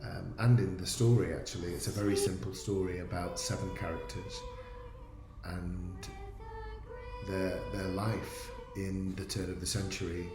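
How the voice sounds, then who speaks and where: Low-voiced man in an echoey room. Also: level tone, measured pace. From North of England. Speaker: guest musical theatre writer. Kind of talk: explaining own creative work. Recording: echoey room, music behind.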